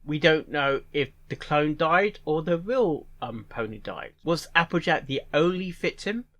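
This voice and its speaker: A man giving casual reaction commentary in a halting way, repeating phrases and musing aloud in questions. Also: on the high side, expressive, a bit bright. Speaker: reaction video host.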